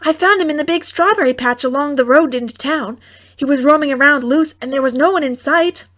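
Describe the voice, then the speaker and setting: An eager little farm girl from a comic fairy tale audiobook, acted out by a woman narrator. Her voice sits high, and she speaks in an excitable, pleading way. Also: brisk. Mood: earnest.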